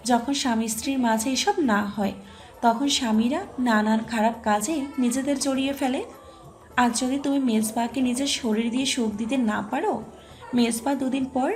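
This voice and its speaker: High-pitched female voice, bossy scolding neighbour played by an actress, sarcastic rhetorical questions, preachy, audio drama, faint music behind. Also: darkish tone, natural voice. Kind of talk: audio story drama acting.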